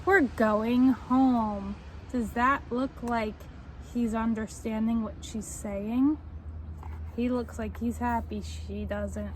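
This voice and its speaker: A young woman reading a children's book aloud in a deliberate, expressive way, explaining and asking the listeners questions. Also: on the high side, poor audio. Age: young adult. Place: outdoors on a front step by a house door. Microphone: camera at a short distance. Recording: faint outdoor street noise.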